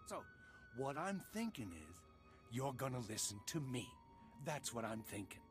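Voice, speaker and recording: An actor playing a cocky, hot-tempered criminal boss in a video game, with a smug, bossy manner. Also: male voice, medium pitch, very animated, moderate pace, natural voice. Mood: smug, threatening. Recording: loud game music behind.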